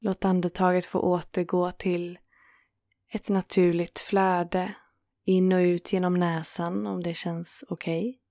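A woman guiding a yoga nidra relaxation in a calm, soothing manner, leaving gentle pauses between phrases. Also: fairly low pitch, no echo, studio quality. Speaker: meditation guide and host.

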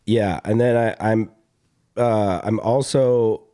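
A young man with a slightly hoarse voice chatting casually as a podcast host, rambling and halting, with some casual swearing. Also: fairly low pitch, steady tone, mellow. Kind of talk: casual chat.

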